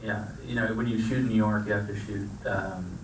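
Producer guy talking on stage, low and flat, roomy far-off sound with hiss. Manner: thoughtful, measured, frequent restarts. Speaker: film producer. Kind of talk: panel Q&A answers. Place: stage of a screening theater. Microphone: handheld mic. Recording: echoey theater, distant mic, noisy.

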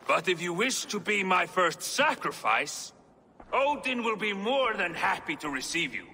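An actor playing a vicious Viking enemy in a video game, taunting and full of contempt. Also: male voice, high voice, very animated, a little slow, bright, forceful tone, exaggerated voice. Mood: sneering. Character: sneering, vicious Viking enemy warrior, male. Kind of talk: dramatic game villain acting. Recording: hall reverb, faint ambient noise.

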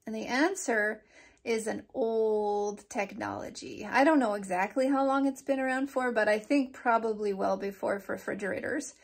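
A woman's voice: a little high, fairly even intonation, normal speed, vocal fry, breathy edge. A woman in her forties chatting casually to her phone camera for a daily vlog, rambling with fillers as she walks outdoors and sits in a home office. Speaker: vlogger. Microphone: phone held at arm's length.

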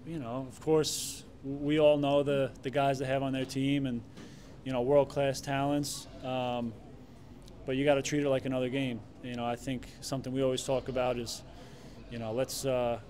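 A man in his thirties, a goalkeeper answering reporters' questions at a press conference in a relaxed way, with many fillers and restarts. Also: mid-range voice, moderate pace. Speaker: soccer goalkeeper. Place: stadium press room.

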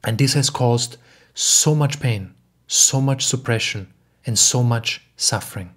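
A young man from Austria speaking in an earnest, emphatic way, slowly repeating phrases as he talks alone to the camera at home. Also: medium pitch, slightly rough. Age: young adult. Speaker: podcast host and spiritual teacher. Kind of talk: reflective solo talk. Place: home living room.

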